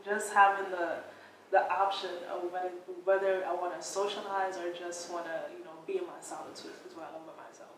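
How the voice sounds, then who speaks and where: A twenty-five-year-old woman from Brooklyn answers interview questions in a relaxed, reflective way. Her voice sits a little low and comes from some distance off the mic. The space is very echoey, with faint noise behind her. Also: fairly quick. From Brooklyn, New York. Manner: relaxed, thoughtful, says "like" often.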